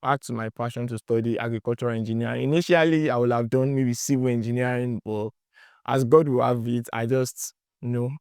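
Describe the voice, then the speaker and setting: A man's voice: medium pitch, fairly even intonation, brisk, darkish tone, smooth, dry recording, studio quality. A young man in his twenties with a Nigerian accent, a student answering interview questions in a hesitant, rambling way with many fillers.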